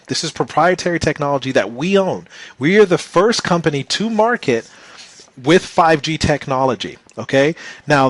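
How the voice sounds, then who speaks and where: A man with a slightly hoarse voice giving an enthusiastic sales pitch for a business opportunity, calling his viewers "you guys". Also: no room sound. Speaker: presenter.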